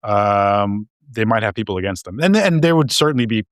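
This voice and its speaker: A man's voice: on the low side, expressive, brisk, no echo, studio quality. A man co-hosting a podcast, talking casually and hesitantly, often restarting and repeating words. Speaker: podcast co-host. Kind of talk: casual discussion.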